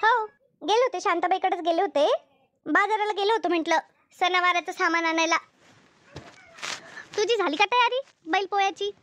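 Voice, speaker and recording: A woman's voice: very high-pitched, moderate pace, long pauses, fairly bright tone. A woman voicing a concerned, chatty village neighbour who readily scolds, in an amateur cartoon comedy dub.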